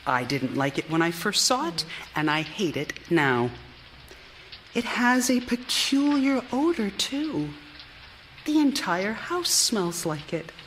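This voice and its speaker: An actress playing a troubled, fixated wife confined to her room, in live radio drama style with a brooding, deliberate delivery. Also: mid-range voice, sing-song, low-quality recording. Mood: brooding, agitated. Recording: live theater recording with faint rain noise.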